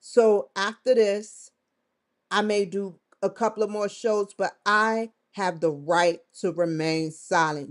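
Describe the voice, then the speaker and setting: A woman vlogger giving a defiant, confrontational monologue straight to the camera, swearing often. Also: mid-range voice. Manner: defiant, confrontational, with frequent profanity. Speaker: vlogger hosting her own show.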